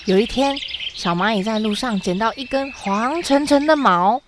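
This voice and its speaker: A young woman narrating a scripted children's story in an expressive, dramatic way. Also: on the high side, normal speed, background noise. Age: young adult.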